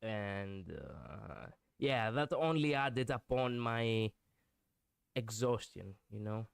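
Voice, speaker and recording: A male streamer with a cold, chatting casually and joking about feeling rough. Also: fairly low pitch, animated, takes it slowly, no echo, studio quality. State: has a cold. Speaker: VTuber streamer.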